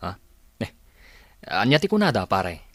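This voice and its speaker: A man acting as a village gossip with lively, swooping intonation. The recording is dry and close, in the style of a radio comedy-drama. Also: fairly low pitch, normal speed, no room sound. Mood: gossipy. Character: gossiping village man chatting with his buddy.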